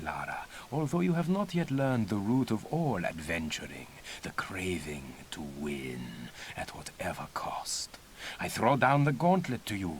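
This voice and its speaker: An actor playing a cocky older adventurer who mentors a young pupil in a video game, with a theatrical, goading, instructive delivery. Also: male voice, deep voice, slight background noise. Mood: challenging. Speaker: mentor guiding a pupil through training.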